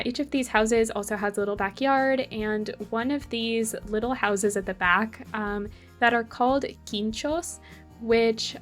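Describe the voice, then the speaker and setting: A young woman from Argentina, casually talking through a game build as channel host, rambling with many fillers and self-corrections. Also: fairly high pitch. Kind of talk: casual build commentary over gameplay.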